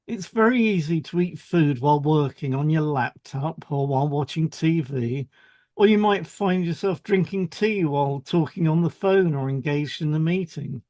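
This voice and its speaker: A man's voice: on the high side, warm tone, no room sound. A man co-hosting a podcast, reading explanatory script and introducing topics with short conversational links. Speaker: podcast co-host, hypnotherapist.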